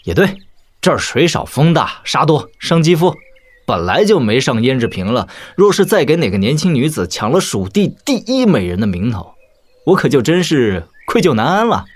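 An actor playing a proud young warrior prince in an audio drama, measured, cutting and mock-polite. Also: male voice, mid-range voice, very animated.

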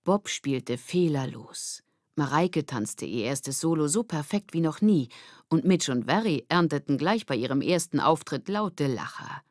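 A woman's voice: sits low, animated, measured pace, darkish tone, no echo, clean studio sound. A woman reading a children's story as a scripted audiobook narration.

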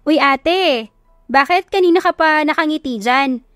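A woman voicing a teasing, giddy younger sister in an audio romance, excitable and nagging, with a Filipino accent. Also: sits high, a little slow, no room sound, studio quality, soft background music, voice pushed up.

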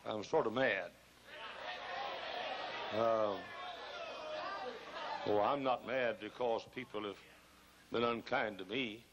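An older man preaches from a pulpit microphone in a large church, his lowish voice hoarse and rough with gravel. He hammers his points, repeats phrases and swells into loud bursts. A faint hiss from an old video recording sits under him. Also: steady tone, takes it slowly, pauses often, poor audio. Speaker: pastor. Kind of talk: fiery sermon. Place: large church auditorium, choir behind.

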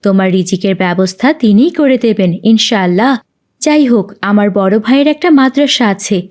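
A woman's voice: mid-range voice, a little mellow, lightly acted. A woman voicing an earnest, preachy young aunt in a cartoon. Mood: reassuring, earnest. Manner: earnest, admonishing. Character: kindly, preachy young aunt helping street children. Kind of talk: scripted cartoon dialogue.

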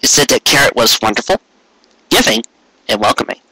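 Male story narrator, bright forceful voice, dry close sound. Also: mid-range voice, expressive, brisk, breathy edge, dry recording, poor audio. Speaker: amateur fan fiction reader. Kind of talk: scripted narration, storybook reading.

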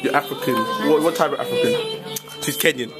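A man's voice: on the high side, huge pitch swings, fairly quick, over loud music. Teasing young man vlogging at arm's length. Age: young adult. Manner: joking, teasing, rambling. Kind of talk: casual vlog chat. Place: outdoors in the cold, then a church hall. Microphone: handheld camera at arm's length.

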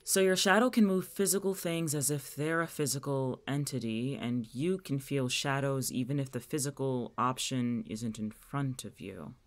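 A man's voice: medium pitch, moderate pace, few pauses, lightly acted. An actor as an exasperated, sarcastic young man. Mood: subdued. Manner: sardonic, scolding. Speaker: voice actor in an audio drama. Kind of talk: naturalistic audio fiction podcast acting.

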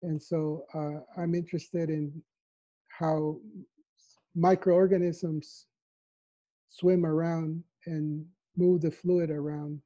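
Man from El Salvador, fifties, soft thoughtful interviewee with a slightly high, very mellow voice on dull lo-fi call audio. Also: flat delivery, slow. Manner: soft-spoken, thoughtful, with frequent fillers. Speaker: mathematician interviewee. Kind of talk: reflective interview answers. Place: home living room. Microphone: lapel mic on a video call.

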